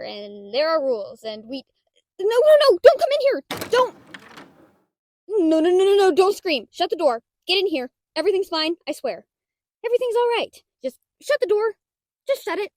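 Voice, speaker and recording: A woman's voice: extremely high pitch, dry recording, exaggerated voice. A woman playing a shy, nerdy, rule-bound half-dragon librarian, flustered and rambling with dry wit in an audio roleplay. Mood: flustered, officious, then panicked. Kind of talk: scripted audio roleplay addressed to the listener.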